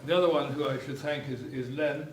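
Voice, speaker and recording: A man's voice: medium pitch, fairly even intonation, brisk, over a noisy crowd. Older man addressing a meeting, echoey and distant. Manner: hesitant, rambling, repeats words. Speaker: club chairman. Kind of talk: informal welcome speech at a club meeting. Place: echoey hotel meeting room. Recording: echoey room, distant mic, faint noise.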